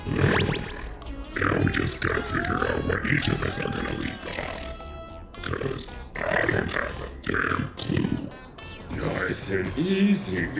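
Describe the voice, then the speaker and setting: A man voicing a huge animatronic alligator with a gruff growl, slangy and soft-hearted, in a fan cartoon dub. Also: exaggerated voice. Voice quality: deep gravelly growl. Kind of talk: fan comic dub acting. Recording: echoey, with loud music.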